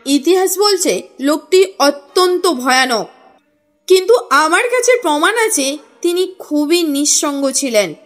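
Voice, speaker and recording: A woman voicing an earnest, courteous businessman in an audio story, speaking in a formal, measured, explanatory way. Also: high-pitched, expressive. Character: earnest, courteous businessman recounting his family history. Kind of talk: audio story character reading. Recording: music under the voice.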